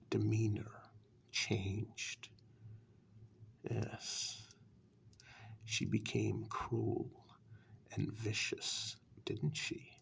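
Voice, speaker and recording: A man playing a condescending, reclusive warlock in a soft-spoken dark fairytale ASMR role-play, slow, deliberate and taunting. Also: lowish voice, gravelly, breathy edge, full character voice. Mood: mocking, accusing.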